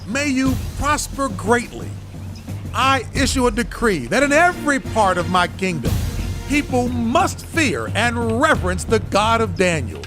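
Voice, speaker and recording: An impassioned king of an audio Bible drama. His voice is pitched very high and swings widely as he declaims with royal command. Dramatic music plays clearly behind him. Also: very animated, a little slow, heavily acted. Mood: exultant proclamation. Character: anguished, commanding king issuing royal decrees.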